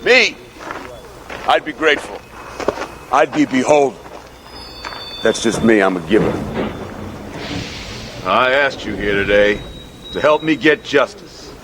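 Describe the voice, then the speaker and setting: An actor playing an overbearing town boss in a screen drama, haranguing people with forceful rhetoric. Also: male voice, medium pitch, expressive, unhurried, long pauses, natural voice. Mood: wheedling, self-righteous. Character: overbearing bullying town boss rallying a mob. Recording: noisy film soundtrack with crowd.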